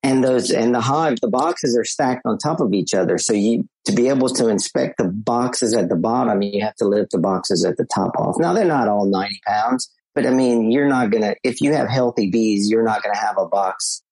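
Husky-voiced man, easygoing. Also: lowish voice, moderate pace, few pauses, a little mellow, dry recording, low-quality recording. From South Louisiana. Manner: easygoing, repeats words while thinking. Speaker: podcast guest, beekeeper. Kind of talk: casual interview chat.

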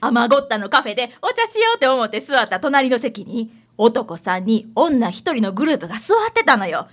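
A woman's voice: fairly high pitch, big pitch swings, fairly quick, a bit bright. A woman playing a chatty, dramatic housewife with a Kansai accent in a radio drama. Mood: excited, alarmed. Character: chatty, dramatic, gossipy city housewife client.

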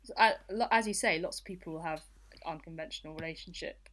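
A woman co-hosting a relaxed, conversational discussion of the news. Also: low voice, fairly quick. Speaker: podcast co-host. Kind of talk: casual news chat.

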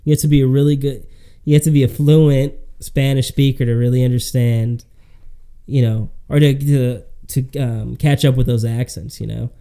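Man, medium pitch, very mellow dark tone, casual podcast chat, slangy, rambling. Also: fairly even intonation, moderate pace, clear, resonant tone. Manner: slangy, rambling, says "man" and "dude" often. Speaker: podcast co-host.

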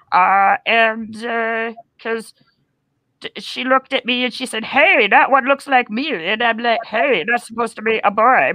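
A chatty young puppet boy, voiced by a woman, speaks in a bright, forward voice. The delivery is halting and rambling, with a sheepish air, in a very dry close sound. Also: fairly high pitch, dry recording. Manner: halting, rambling, searching for words. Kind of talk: improvised puppet interview.

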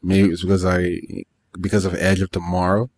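Low-voiced man chatting offhandedly. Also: monotone, a little fast, darkish tone. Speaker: podcast co-host. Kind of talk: casual chat about games and manga.

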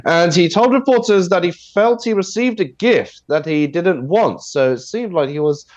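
A man with a cold, sounding nasal and husky, explaining news items on a video call with a steady delivery full of fillers. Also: sits high, moderate pace, dry recording, highs cut off. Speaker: news commentator. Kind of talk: radio news discussion.

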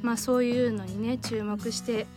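A woman's voice: on the high side, fairly even intonation, moderate pace, music in the background. A woman hosting a radio show, talking casually and politely with her co-host. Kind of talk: casual program talk.

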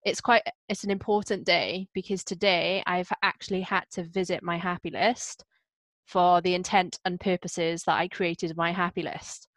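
A woman hosting a podcast, chatting casually with quick asides. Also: fairly low pitch, level tone, no echo. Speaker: podcast host. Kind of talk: casual chat.

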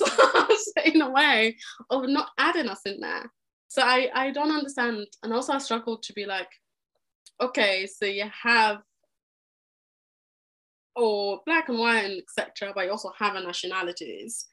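A young woman from Egypt talks in a podcast interview. She is earnest and animated, and she often breaks off and restarts her sentences as she goes. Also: mid-range voice, low-quality recording. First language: Arabic. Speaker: podcast guest. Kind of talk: conversational interview.

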